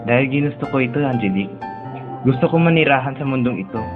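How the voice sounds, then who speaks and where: Radio drama role: man as young male dreamer, gentle, lonely, confiding, medium pitch, music behind, muffled telephone-like audio. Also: lively intonation, quick pace, natural voice. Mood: wistful, confiding.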